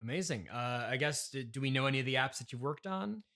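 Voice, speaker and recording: A man's voice: mid-range voice, expressive, quick pace, sharp tone. A man hosting a casual improvised comedy interview, asking questions in a dry, skeptical way. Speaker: podcast interviewer.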